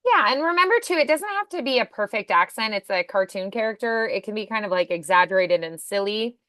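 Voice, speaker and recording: A woman coaching in a conversational one-to-one lesson, encouraging and pausing to demonstrate sounds. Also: mid-range voice, lively intonation, bright, forceful tone, no echo, studio quality. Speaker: voice acting coach.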